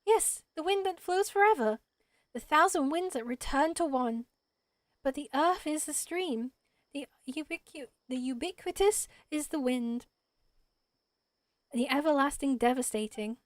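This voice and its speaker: A woman voicing a small, gentle, childlike forest spirit in a video game, solemn and earnest, with quaint, ceremonious phrasing. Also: high voice, expressive, unhurried, dry recording, clean studio sound. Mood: chanting, reverent. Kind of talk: amateur voice acting of video game dialogue.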